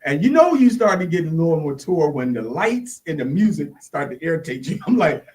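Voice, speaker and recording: A man co-hosts a casual chat, sitting away from the microphone. His voice runs slightly high, with animated rises and falls as he tells his stories. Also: fairly quick. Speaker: talk show co-host. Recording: distant from the mic.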